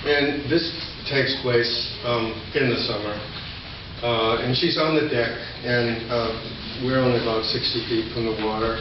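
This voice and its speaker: An older man presents his poetry at a live reading. His voice sits at an average pitch. It comes from far off in a very echoey space, with loud noise over the recording. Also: steady tone, measured pace. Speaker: poet. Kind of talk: poetry reading with informal spoken introduction. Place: indoor reading venue, recorded from a distance. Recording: echoey room, distant mic, dull, noisy.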